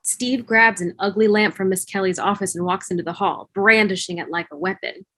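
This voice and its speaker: A woman narrates stage directions from a script. Her voice sits at a middle pitch, and the sound is close and dry, with no room around it. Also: steady tone, a little fast, bright, forceful tone, no echo. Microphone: video call.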